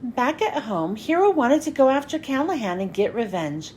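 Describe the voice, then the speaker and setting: A woman reading a children's story aloud in an expressive, dramatic storytelling style. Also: high-pitched, moderate pace, slight background noise. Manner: expressive, dramatic with character lines. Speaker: children's book reader. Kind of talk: animated read-aloud storytelling for kids.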